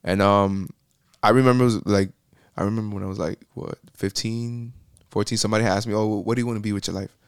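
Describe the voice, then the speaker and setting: A man's voice: fairly low pitch, fairly even intonation, fast talker, smooth, dry recording, studio quality. A young man from Miami, a podcast guest, talking casually and rambling with lots of fillers. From Miami, Florida. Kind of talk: casual interview chat.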